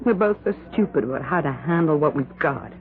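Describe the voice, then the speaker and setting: A breezy, affectionate wife chats emphatically and trustingly in radio drama style. The sound is a thin, narrow-band old broadcast. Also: female voice, low-pitched, animated, brisk. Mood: firm, cheerful. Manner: bright, chatty, emphatic. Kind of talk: 1970s radio drama acting.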